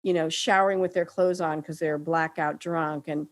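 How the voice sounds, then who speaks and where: A woman's voice: low-pitched, steady tone, moderate pace. A middle-aged woman co-hosting a podcast in casual conversation, searching for words and often checking in with her listener. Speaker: podcast co-host and writing teacher.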